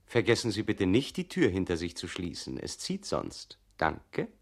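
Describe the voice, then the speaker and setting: A man's voice: low-pitched, huge pitch swings, moderate pace, heavily acted. An actor in a radio play voicing a pedantic male official who makes polite, condescending remarks. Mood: dismissive.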